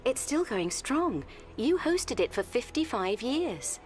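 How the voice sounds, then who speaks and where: A woman's voice: fairly high pitch, big pitch swings, takes it slowly, soft background music. An actress playing a tender, reassuring female companion in a radio drama. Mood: tender, soothing.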